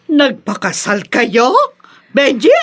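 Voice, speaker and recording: A woman's voice: a little high, animated, takes it slowly. An actress playing a possessive, disapproving mother in a melodramatic, accusing manner in a radio drama. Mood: shocked, accusing. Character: possessive, disapproving mother of grown sons, emotional. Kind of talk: radio drama acting in Tagalog.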